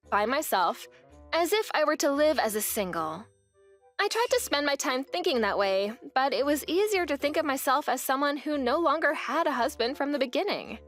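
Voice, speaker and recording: A woman's voice: sits high, a bit bright. A young woman narrating her own story as a wife in a manga dub, speaking in an earnest, weary way.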